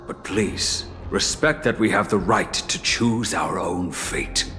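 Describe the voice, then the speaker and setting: An actor playing a stern, proud priest in a game cutscene, preachy and firm. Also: male voice, low-pitched, slow pace, bright, forceful tone, faint music behind, exaggerated voice. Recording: echoey hall reverb.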